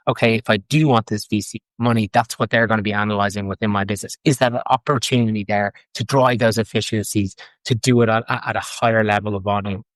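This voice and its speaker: Quick, eager Irish man on a dry mic. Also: lowish voice, fairly even intonation, dry recording. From Ireland. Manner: enthusiastic, fast, repeats words and restarts. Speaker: guest, fintech co-founder. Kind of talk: casual interview talk. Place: London.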